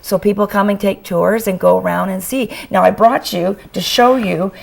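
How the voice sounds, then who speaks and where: Canadian woman guest with a hoarse voice. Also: medium pitch, steady tone, normal speed. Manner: enthusiastic, chatty. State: losing her voice. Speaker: author guest. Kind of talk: talk show interview. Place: TV studio.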